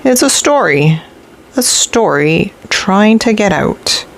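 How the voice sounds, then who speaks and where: Woman voicing a musing old storybook gentleman, lively pitch. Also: slow pace. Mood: musing, inventive. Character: bald old man storyteller, tired, kindly, encouraging. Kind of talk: children's storybook read-aloud.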